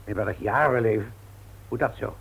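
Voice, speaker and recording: An actor playing a cautious older shopkeeper uncle in a 1960s radio drama, hesitant and admonishing, with a husky, gravelly voice. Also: male voice, lowish voice, moderate pace, a bit bright, exaggerated voice. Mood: cautious, reproving. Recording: old radio play recording.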